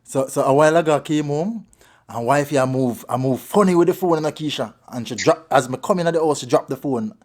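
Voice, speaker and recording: Fast-talking Jamaican man. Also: on the high side, darkish tone. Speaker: prank show host. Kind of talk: casual prank phone call.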